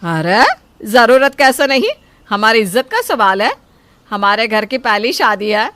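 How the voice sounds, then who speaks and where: A woman acts out a greedy middle-aged mother-in-law in a dramatized story. Her voice is high and bright, swinging widely as she wheedles with false politeness and pushes her demands. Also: lively intonation, a little slow, no room sound. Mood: pushy, demanding. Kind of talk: acted Hindi audio story.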